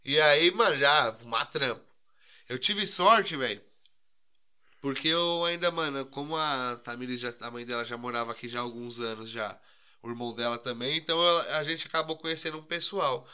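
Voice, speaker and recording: A man's voice: mid-range voice, normal speed, sharp tone, smooth. A young man, co-hosting a podcast and telling stories in a slangy, rambling way. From Brazil. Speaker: podcast co-host. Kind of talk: casual chat.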